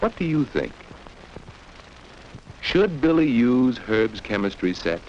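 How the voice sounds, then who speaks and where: A man narrates a children's educational film in 1940s style, with a medium-pitched voice that rises and falls in a lively way. He teaches like a storyteller, often turning to the young audience with questions. The old soundtrack is dull, with a faint layer of noise. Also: slow pace. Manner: didactic, addresses young listeners with rhetorical questions.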